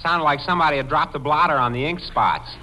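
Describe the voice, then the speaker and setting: Casting: eager, whiny teenage boy, highish forceful voice, slangy and lovestruck, for vintage radio comedy. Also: fast. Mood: exasperated, hesitant. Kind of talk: 1940s radio comedy acting. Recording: slightly noisy vintage radio broadcast.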